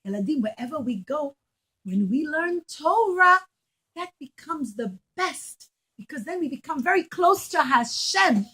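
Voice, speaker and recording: A woman's voice: sits high, sing-song, measured pace, bright, forceful tone, rough recording. A woman preschool teacher telling a story to young children in simple, emphatic phrases, often putting questions to them. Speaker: preschool teacher giving a puppet show.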